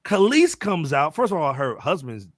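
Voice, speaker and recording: A man's voice: on the high side, very animated, normal speed, dry recording, studio quality. An American man hosting a music panel, talking casually in street slang and bantering with his panelists. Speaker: podcast host moderating a music panel.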